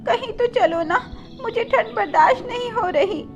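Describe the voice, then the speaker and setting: A woman's voice: very high-pitched, lively intonation, moderate pace, highs cut off, faint music behind, voice pushed up. A woman voicing a small, trusting girl bird who talks in a plaintive, childlike way in a cartoon. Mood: shivering, pleading.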